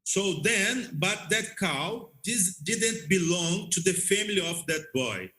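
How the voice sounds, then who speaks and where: A man who is a non-native English speaker, telling stories as an interview guest in a halting, repetitive way and checking that he is understood. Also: high voice, lively intonation, pushed and bright, rough recording. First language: not English. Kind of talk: conversational storytelling.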